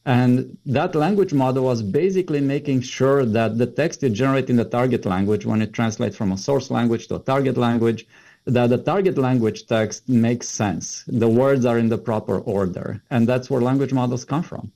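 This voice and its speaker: A man's voice: mid-range voice, moderate pace, warm tone. A middle-aged man with a Romanian accent, explaining things conversationally as an interview guest. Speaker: interview guest, computer science educator.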